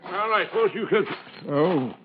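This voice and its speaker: A folksy older foreman runs a country lodge, talking in a rustic drawl that sits a touch high. His chatter comes through the dull, muffled sound of an old radio broadcast. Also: expressive, normal speed, very noisy. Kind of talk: 1950s radio drama acting.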